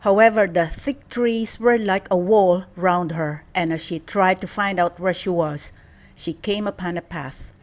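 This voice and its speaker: A woman storyteller narrating a children's fairy tale in a measured, expressive way. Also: lowish voice.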